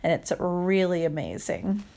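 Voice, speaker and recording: Lady reading a kids story out loud, real slow, expressive, stops to chat w the kids. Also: on the low side, gravelly. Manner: expressive, acts out sound words, asks listeners questions. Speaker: teacher reading a picture book to children. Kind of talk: animated read-aloud storytelling with chatty asides.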